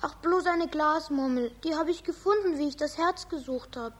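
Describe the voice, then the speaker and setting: A woman's voice: high voice, moderate pace, lightly acted. A woman playing a polite, anxious little girl in a children's radio play, speaking in a childlike, plaintive way. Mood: disappointed.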